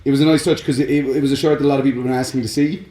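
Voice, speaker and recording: A man's voice: mid-range voice, fairly even intonation, racing, dark tone. A man co-hosting a podcast, chatting casually about films and games. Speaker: podcast co-host. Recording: roomy echo, faint music behind.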